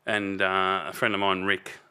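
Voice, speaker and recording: A loud Australian man in his fifties with a fairly low but bright, forceful voice. He tells stories casually and rambles, often trailing off mid-sentence and starting over. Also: completely flat delivery, unhurried, clean tone. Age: 50s. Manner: rambling, trails off and restarts a lot. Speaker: podcast guest. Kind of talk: casual storytelling chat.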